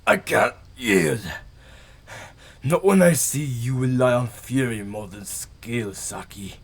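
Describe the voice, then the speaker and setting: A man playing an earnest, principled young warrior and brother with a creaky, husky voice in an audio drama. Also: mid-range voice, slow, heavily acted. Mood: defiant.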